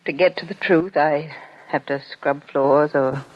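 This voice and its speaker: A woman's voice: deep voice, steady tone, a little slow. An actress playing a humble, determined mother of sixty-seven, pleading earnestly and plainly in a 1940s radio drama. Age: 60s. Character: humble, determined 67-year-old mother pleading for her son. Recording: dull lo-fi 1940s radio broadcast.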